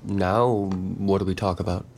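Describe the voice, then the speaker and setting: A man's voice: deep voice, steady tone, moderate pace, a little mellow, slight background noise. A man acting a despondent, dry, somewhat condescending and earnest character in a naturalistic audio drama. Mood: tentative, subdued.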